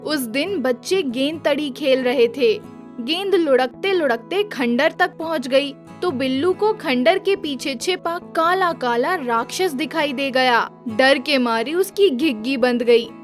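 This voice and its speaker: A woman's voice: high voice, measured pace, soft background music. A woman narrating a children's story from a script.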